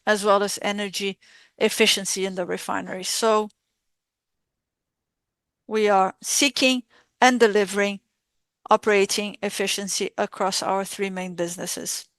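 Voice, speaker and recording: Female conference interpreter, smooth clear voice, dry close sound, formal financial delivery in measured segments. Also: mid-range voice, fairly bright tone, no echo. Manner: measured, halting phrasing in short segments. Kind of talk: formal earnings call presentation, spoken live.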